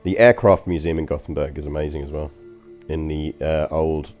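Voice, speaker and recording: A man's voice: rumbling low, steady tone, moderate pace, darkish tone, soft background music. A man with a London accent chatting casually while streaming a strategy game, rambling with frequent ums and uhs. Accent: London English. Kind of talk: casual gameplay commentary to stream chat.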